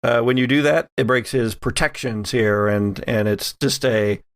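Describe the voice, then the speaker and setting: Male game master for horror tabletop play; mid-range voice with fry, very dry recording. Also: medium pitch, normal speed, no echo.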